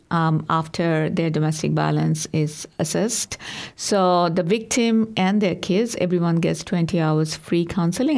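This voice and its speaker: Earnest woman from Bangladesh, gravelly tone. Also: lowish voice, a little mellow. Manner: earnest, halting with many ums. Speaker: community worker and guest. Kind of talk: interview answers.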